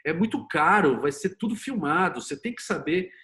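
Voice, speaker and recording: Higher-voiced Brazilian man explaining. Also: moderate pace. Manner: opinionated, animated storytelling with rhetorical questions and acted quotes. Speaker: screenwriter and series producer, interview guest. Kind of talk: conversational interview, explanatory.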